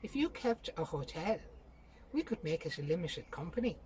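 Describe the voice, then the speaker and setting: Woman reading as an excitable, pushy brother. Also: fairly low pitch, normal speed. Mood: excited, enthusiastic. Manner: insistent, excitable. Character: eager, overbearing brother pushing his plans.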